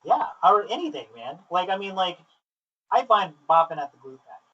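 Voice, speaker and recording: A man interviewing casually and telling stories in an informal, sweary, excitable way, on a flawed low-quality recording. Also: normal speed, slightly rough. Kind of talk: casual chat, animated anecdotes. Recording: distant, muffled lo-fi, technical faults.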